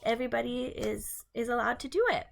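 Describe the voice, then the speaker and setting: A woman's voice: fairly high pitch, steady tone, brisk, creaky. A woman teacher doing a read-aloud storytime for young children in a sing-song manner.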